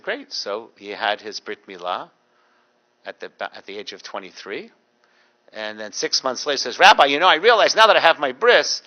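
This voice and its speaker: A middle-aged man, a rabbi giving a public talk, telling stories with dry humor and mimicking the people in them. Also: on the high side. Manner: animated, mimics voices in anecdotes. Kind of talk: storytelling lecture with dry humor.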